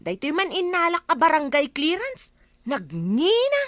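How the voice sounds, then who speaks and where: A woman's voice: high-pitched, wildly swooping pitch, a little slow, a bit bright, dry recording, exaggerated voice. A woman playing an aggrieved, gossipy villager in an Ilocano comedy radio drama, complaining and exclamatory. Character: aggrieved gossipy female villager, indignant at high fees.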